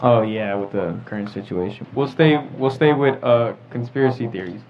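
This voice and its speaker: A man's voice: fairly low pitch, moderate pace. A young man moderating a casual group discussion, speaking informally. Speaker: podcast moderator. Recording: muffled audio with clear background noise.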